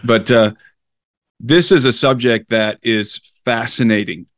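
A man's voice: medium pitch, slow pace, a bit bright, dry recording, clean studio sound. A man speaking as a podcast guest, telling history conversationally in an enthusiastic, explanatory and digressive manner. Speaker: podcast guest, explorer and researcher.

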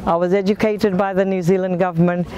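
A woman's voice: on the low side, little pitch movement, fast, mellow. A woman in her fifties from Fiji, a farm owner speaking earnestly to camera outdoors on a lapel mic. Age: 50s. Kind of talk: interview to camera.

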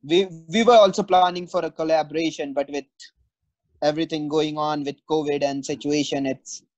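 A young man asking interview questions over a video call, halting with fillers. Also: a little high, fairly even intonation, a little mellow, no echo. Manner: halting, many fillers and restarts. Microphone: video call webcam. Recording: dull dry video call audio.

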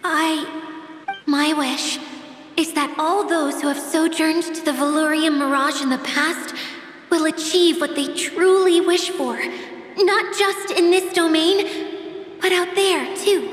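Casting: self-doubting young water spirit, very high, hesitant, in long reverb, anime game. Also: female voice, fairly even intonation, slow pace, soft background music. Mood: wistful. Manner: hesitant, earnest.

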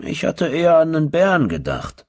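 A crude, cocky thug from a horror audiobook, acted out by a male reader. He talks in a mocking, coarse way with a husky voice, pushed up high and loud above the reader's usual talk. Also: wildly swooping pitch, fairly quick, full character voice. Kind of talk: audiobook horror story dialogue.

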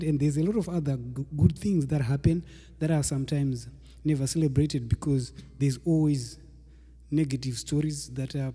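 A man in his thirties, calmly introducing his music set into a stand microphone in a small studio room. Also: medium pitch, breathy edge, long reverb tail. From Zimbabwe. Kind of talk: spoken introduction to a live performance. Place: small indoor studio room with patterned wall hanging. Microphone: microphone on a stand in front of him.

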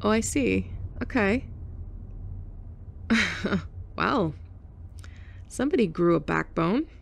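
A woman's voice: medium pitch, expressive, takes it slowly, vocal fry. A woman as a blunt sarcastic former bully. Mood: scornful. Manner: sarcastic, blunt, sighing and scoffing. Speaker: ASMR roleplay performer. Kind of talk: F4M ASMR roleplay.

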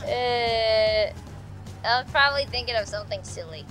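A woman's voice: fairly high pitch, normal speed, fairly bright tone, soft background music. A woman hosting a let's play, rambling and giggling to herself as she plays, a bit congested from allergies. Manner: rambling, self-chatting, giggly. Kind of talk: casual gameplay commentary.

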